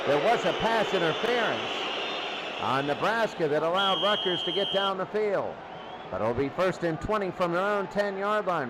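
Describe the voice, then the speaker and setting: A high-voiced man does live play-by-play for a football game with an excitable delivery. He follows each down over a clearly noisy recording. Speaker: play-by-play announcer. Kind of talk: live American football commentary.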